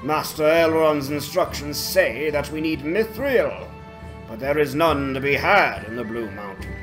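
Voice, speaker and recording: A man voicing an old dwarf lord who speaks in a measured, formal, emphatic way in a dramatized fantasy game. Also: a little high, music in the background, lightly acted, poor audio. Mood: commanding, deliberate. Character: old dwarf lord, commanding, shrewd, faintly scornful.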